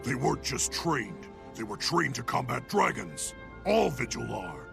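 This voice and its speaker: A grim, battle-hardened Norn crusader out for vengeance for a fantasy game. He is blunt and emphatic, with a gravelly, mid-pitched voice. Music plays clearly underneath. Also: very animated, a little slow, full character voice. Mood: angry, grieving.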